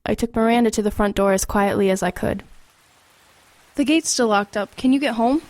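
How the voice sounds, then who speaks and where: A woman's voice: on the high side, brisk, a little mellow, natural voice. A young woman playing an earnest, anxious but resolute teenage girl who narrates and acts in an audio horror drama. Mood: subdued. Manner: earnest, urgent in dialogue, reflective in narration. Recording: rain and ambience behind the voice.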